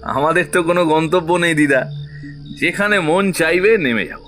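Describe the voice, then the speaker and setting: An actor playing a jokey, carefree young college student in a horror audio drama, with a teasing manner. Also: male voice, on the high side, moderate pace, a bit bright, faint music behind, lightly acted. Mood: flippant. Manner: breezy, teasing.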